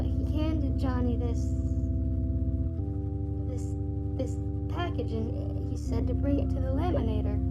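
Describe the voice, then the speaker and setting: Woman acting as a high-voiced evasive noir damsel. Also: rough recording. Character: evasive, melodramatic young female noir damsel client. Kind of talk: old noir radio drama acting.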